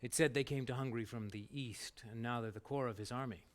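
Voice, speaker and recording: A man's voice: mid-range voice, fast talker, natural voice. A man acting a wary, grave nobleman in a dramatic video game cutscene.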